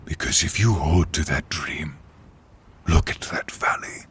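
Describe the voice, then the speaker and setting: A man playing a menacing, bitter dark sorcerer king risen from peasantry, with a gravelly half-whispered rasp and grandiose, taunting delivery in a fantasy audio drama. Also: a bass voice, full character voice. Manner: slow, theatrical, taunting delivery. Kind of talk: fantasy audio roleplay acting.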